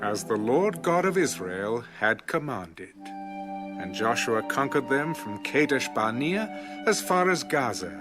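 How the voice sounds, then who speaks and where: A man reading scripture as a scripted audio narration, in a measured, solemn storytelling manner. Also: medium pitch, big pitch swings, over music.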